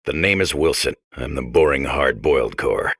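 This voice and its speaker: An actor playing a dull, world-weary hard-boiled detective robot, narrating in clipped deadpan noir parody with a gravelly voice. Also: male voice, rumbling low, moderate pace, no echo, clean studio sound, exaggerated voice.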